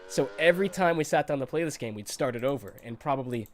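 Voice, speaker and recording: A man's voice: medium pitch, lively intonation, quick pace, slight background noise. A congested man with a cold giving chatty, nostalgic commentary while streaming a video game, laughing often.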